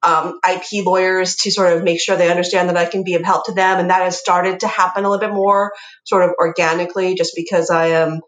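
A woman lawyer with a slightly low voice, chatting with a podcast host in a cheerful, nerdy way and laughing lightly. Her audio comes through with noticeable room echo. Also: level tone, a little fast, a bit bright, a little hiss or hum. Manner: chatty, self-described nerd, laughs lightly. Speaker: guest lawyer. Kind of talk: casual podcast interview. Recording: echoey room.

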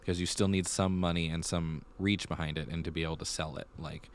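A man with a low-pitched voice speaks as a podcast host in casual conversation. He thinks aloud as he goes, circling back and repeating phrases while he works through the news. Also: fairly even intonation, fairly quick, clear, resonant tone. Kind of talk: casual theater news chat.

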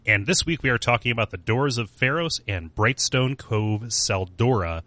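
Bright-voiced male podcast co-host. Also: mid-range voice, lively intonation, moderate pace, no room sound. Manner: laughs often, jokey. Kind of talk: casual chat.

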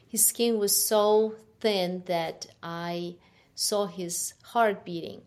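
Slow-talking woman with a Ukrainian accent. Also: medium pitch, fairly even intonation. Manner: slow, halting, soft-spoken storytelling. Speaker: guest. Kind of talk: personal testimony interview.

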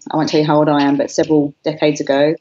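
A woman's voice: low voice, completely flat delivery, rapid-fire, dark tone, lo-fi sound. A woman from Britain, interviewed as a guest, talking about her life in a reflective, candid way. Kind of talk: conversational interview.

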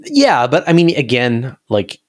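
A man's voice: mid-range voice, huge pitch swings, measured pace. A man talking casually about science as an interview guest, emphatic and repeating words to drive points home.

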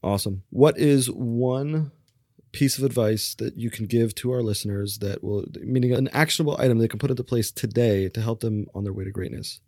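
A man hosting a podcast interview, asking quick follow-up questions and offering brief agreement. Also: medium pitch, dark tone, dry recording, clean studio sound. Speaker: podcast host. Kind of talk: casual interview.

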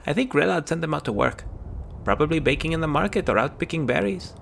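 A man voicing a shy, small hooded boy who speaks gently and hesitantly. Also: a little high, expressive, fast, creaky, slight background noise, pitched above the performer's own voice, exaggerated voice.

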